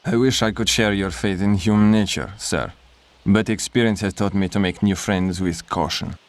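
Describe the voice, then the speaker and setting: Male actor as severe Polish major, low voice, stiff, formal, curt, distrustful, game cutscene. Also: fairly even intonation, moderate pace, close to an everyday voice. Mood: wary. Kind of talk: video game cutscene acting.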